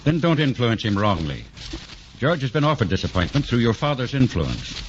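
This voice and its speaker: A man's voice: mid-range voice, sing-song, moderate pace, natural voice. An actor playing a stern, fatherly senior doctor, speaking with authority and emphasis in 1940s radio drama style. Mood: stern, admonishing. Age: older. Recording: dull, noisy 1940s radio recording.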